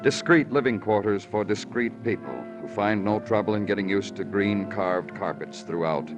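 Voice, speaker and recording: An American actor playing a weary, poetic New York police lieutenant in old radio crime drama, with terse, brooding delivery. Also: male voice, lowish voice, normal speed, lo-fi sound, lightly acted. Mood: brooding narration. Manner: terse questions, brooding literary narration. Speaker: lead actor and narrator. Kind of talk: 1940s-50s American radio crime drama acting and narration. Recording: vintage radio recording with music.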